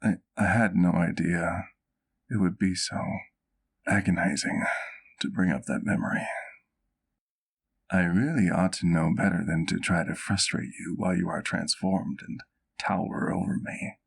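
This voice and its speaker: A man playing a doting, courteous vampire doctor in an intimate ASMR roleplay, with formal, affectionate diction and gentle teasing. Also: low voice, fairly even intonation, normal speed, gravelly, pauses often, slightly rough, full character voice. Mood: apologetic.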